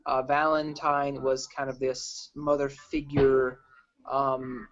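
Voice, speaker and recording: A man hosting a casual group film discussion, talking in a halting, rambling way. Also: mid-range voice, little pitch movement. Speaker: film review host. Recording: dull lo-fi sound.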